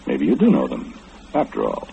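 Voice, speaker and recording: A man's voice: extremely low pitch, wildly swooping pitch, moderate pace, gravelly, warm tone, exaggerated voice. An actor playing a smug, impatient, all-knowing businessman in an old-time radio horror play, with fussy, precise diction and a pompous manner. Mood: ominous, knowing. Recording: muffled, noisy old-time radio recording.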